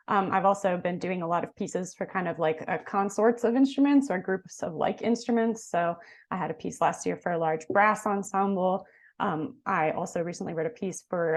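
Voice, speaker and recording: A woman composer from New York City introducing herself casually on a video call, with frequent "um"s. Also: on the low side. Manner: relaxed, frequent "um"s.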